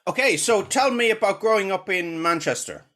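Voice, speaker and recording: A man's voice: high voice, animated, normal speed, bright, forceful tone, a little hiss or hum. Male podcast host. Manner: friendly, hesitant when improvising questions. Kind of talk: podcast intro and interview.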